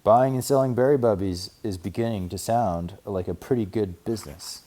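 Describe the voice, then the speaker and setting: A man's voice: on the low side, normal speed, warm tone, close to an everyday voice. A man reading a children's storybook, voicing a blustery, bemused father bear who stresses key words emphatically. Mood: intrigued, emphatic. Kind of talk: children's storybook read aloud.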